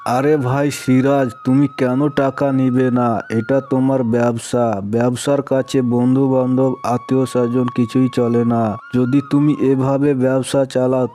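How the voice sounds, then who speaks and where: A man playing a wealthy, patronizing village moneylender with drawn-out exclamations in a cartoon story. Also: mid-range voice, warm tone, natural voice. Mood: earnest.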